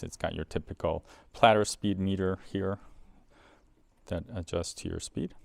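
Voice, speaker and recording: A man's voice: sits low, gravelly, darkish tone, dry recording. A man giving a casual, explanatory product demonstration in an informal way, as a presenter for an equipment seller.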